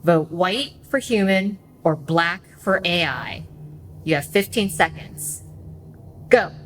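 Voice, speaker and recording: A woman's voice: lowish voice, slow pace, a little hiss or hum. A woman playing a gushing, catty influencer guest in a radio play, showy and provocative. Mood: commanding. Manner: showy, self-promoting, provocative. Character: gushing, catty female Los Angeles influencer guest celebrity.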